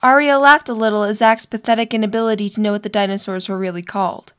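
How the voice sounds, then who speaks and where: A woman's voice: medium pitch, steady tone, quick pace. A woman narrating a scripted story for an audio drama.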